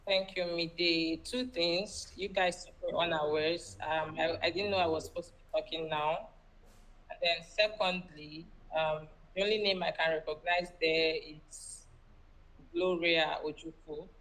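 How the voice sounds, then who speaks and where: A Nigerian woman with a voice a little on the low side gives an unscripted welcome address. She is conversational, often checking in with her listeners. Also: a bit bright, rough recording. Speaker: founder giving welcome address. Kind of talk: informal unscripted welcome speech.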